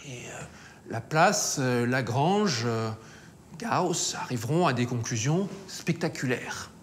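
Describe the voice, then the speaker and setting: A scientist explaining in a documentary, teaching step by step with some hesitations. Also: male voice, medium pitch, expressive, slow, a little hiss or hum.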